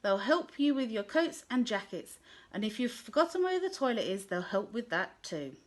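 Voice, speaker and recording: A kind, cheerful teacher of small children, bright and encouraging with a gentle tease, as a woman reading a storybook puts her on. Her voice sits a touch high and friendly. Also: very animated, moderate pace. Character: kind, cheerful female infant-school teacher.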